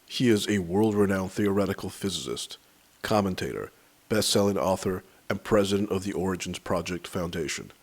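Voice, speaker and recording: A man's voice: deep voice, moderate pace. A man hosting an interview, introducing his guest and asking brief, direct questions. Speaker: podcast host.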